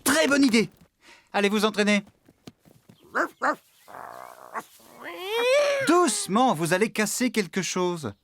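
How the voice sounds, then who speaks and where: A man playing an excitable, bossy young farmhand in a French children's cartoon, giving orders with animated exclamations. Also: sits high, moderate pace. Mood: brisk, encouraging.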